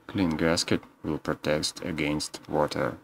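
A man with an extremely low voice narrates a scripted repair guide. He speaks slowly, in short step-by-step instructions. Some noise sits behind his voice. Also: level tone, gravelly. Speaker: repair technician.

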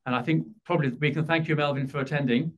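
A man's voice: a little high, steady tone, fast, highs cut off. An English man chairing a formal board meeting in a large boardroom, courteous and lightly self-deprecating. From England.

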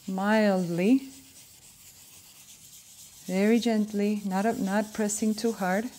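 A woman in her thirties from Argentina, Spanish her first language, with an Argentine accent, hosting a casual drawing tutorial at home in a chatty, gentle way, sometimes searching for words. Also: mid-range voice, slight background noise. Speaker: art tutorial host. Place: indoor room at home.

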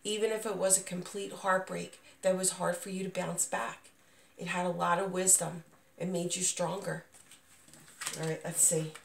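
A woman's voice: on the low side, little pitch movement, moderate pace, gravelly, a little hiss or hum. A woman giving a casual, unscripted tarot reading, reassuring and speaking straight to the listener, with a voice not at full strength as she gets over being sick. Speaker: tarot reader, channel host. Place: indoors, small dim room at a table. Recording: roomy echo, distant microphone.

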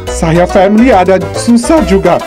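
An actor voicing a warm-hearted, weary middle-aged Indian shopkeeper uncle in a cartoon, with an Indian accent and exclamatory broken speech. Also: medium pitch, animated, moderate pace, music in the background, natural voice. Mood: resigned. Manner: exclamatory, pidgin-like broken Malay. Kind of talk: cartoon voice acting.

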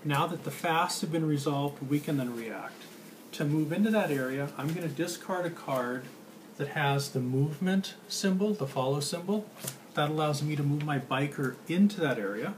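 A man's voice: fairly high pitch, normal speed. A middle-aged man explaining card game rules while playing, recorded across a table in a small room. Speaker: board game demonstrator and player. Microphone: handheld camera across the table. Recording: noisy amateur room recording.

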